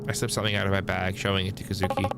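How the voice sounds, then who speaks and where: A man's voice: sits low, level tone, rapid-fire, gravelly, heavy background noise. A man reading a visual novel aloud as a streamer, in dramatic narration. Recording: music under the voice. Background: prominent music.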